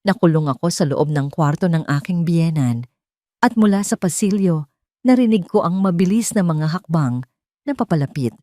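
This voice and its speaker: A woman narrating a scripted first-person drama in a deliberate, dramatic way with short phrases. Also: on the low side, lively intonation, mellow, no echo, studio quality. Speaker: story narrator.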